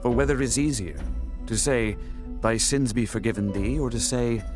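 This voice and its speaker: An actor playing a calm, authoritative teacher in a dramatized audio Bible, solemn and measured. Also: male voice, medium pitch, music in the background, close to an everyday voice. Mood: grave.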